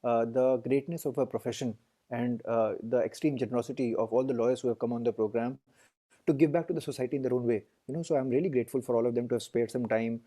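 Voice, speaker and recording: A man's voice: medium pitch, fairly even intonation, quick pace, mellow. A man with an Indian English accent, a lawyer and guest in an interview, speaking earnestly and listing points with many fillers; his first language is Hindi. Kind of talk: interview conversation.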